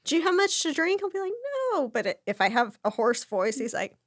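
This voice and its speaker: A woman in her thirties chatting casually as a podcast co-host, a little hoarse and stuffed up from a cold, wry and self-mocking. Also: a little high, very animated, brisk, dry recording, clean studio sound. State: congested from a cold, slightly hoarse. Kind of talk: casual chat.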